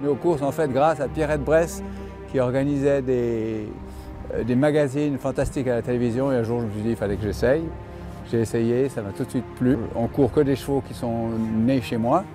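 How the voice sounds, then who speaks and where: An older man who owns and breeds horses, answering interview questions with many pauses and restarts. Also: medium pitch. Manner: hesitant, many fillers and restarts. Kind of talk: interview reply.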